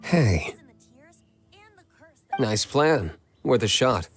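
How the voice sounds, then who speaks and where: A man's voice: medium pitch, sing-song, very slow, full character voice. A man playing a cool, terse, confident young nobleman swordsman in a video game. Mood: dry, assured. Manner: laconic, cool. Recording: music under the voice.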